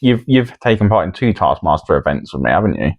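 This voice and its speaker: A man's voice: low-pitched, big pitch swings, fast, no room sound, lo-fi sound. A British man co-hosting a podcast in a casual, bantering way. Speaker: podcast co-host.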